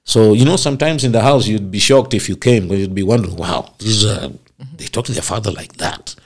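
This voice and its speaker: Middle-aged male actor as interview guest: low voice, expansive storyteller. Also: fairly quick. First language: not Swahili. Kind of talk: casual interview chat.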